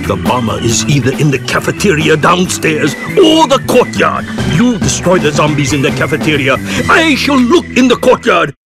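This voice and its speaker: A man playing an eccentric old zombie-hunting sensei in a video game, shifting between grave lecturing and excitable yelps. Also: on the high side, normal speed, hardly any pauses. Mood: rousing. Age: older. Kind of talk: video game cutscene acting. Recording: loud music behind the voice.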